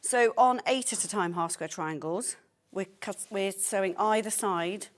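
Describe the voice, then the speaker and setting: A woman's voice: mid-range voice, unhurried. A woman demonstrating a sewing technique on a live studio show. She explains steps practically and mutters to herself as she works. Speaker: sewing presenter.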